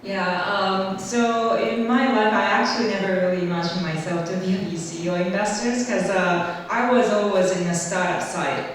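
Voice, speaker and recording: A woman answering on a panel, halting and full of fillers, with light laughs. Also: mid-range voice, fairly even intonation. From Japan. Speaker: panelist, investor. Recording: echoey hall, distant mic, faint hum.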